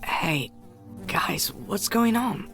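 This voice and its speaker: A man's voice: sits high, animated, drawn-out words, pushed and bright, full character voice. A man voicing a brave, humble huntsman's boy in a fairy-tale game, earnest and plain-spoken. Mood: puzzled. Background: music.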